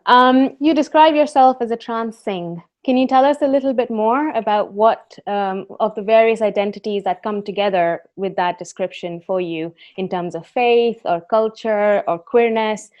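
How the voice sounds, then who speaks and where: A woman's voice: medium pitch, normal speed, few pauses. Woman hosting a webinar, full of ums. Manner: warm welcoming, many ums and restarts. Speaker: host and volunteer. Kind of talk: casual webinar chat. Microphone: computer mic on video call. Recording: dull lo-fi webinar audio.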